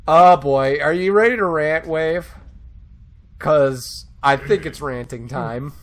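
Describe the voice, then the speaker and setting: A man's voice: fairly high pitch, slow, a little hiss or hum. A man casually co-hosting a reaction commentary, given to playful, theatrical exclamations. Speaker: co-host of a reaction stream.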